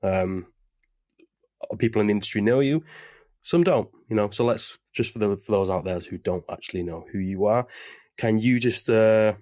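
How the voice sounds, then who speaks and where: Low-voiced man hosting a podcast. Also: normal speed, warm tone, no echo, clean studio sound. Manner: chatty, loose, with frequent fillers. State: recovering from covid. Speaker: podcast host. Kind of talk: casual podcast interview. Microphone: remote webcam call.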